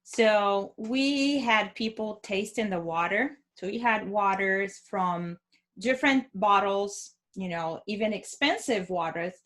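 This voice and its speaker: A woman hosting an interview, asking long, measured, winding questions in a conversational way. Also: medium pitch, fairly bright tone. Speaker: interview host.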